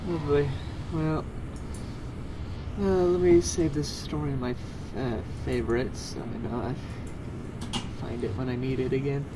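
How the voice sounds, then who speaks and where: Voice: mid-range voice, steady tone, normal speed, background noise. An amateur reader reading fan fiction aloud in a casual setting.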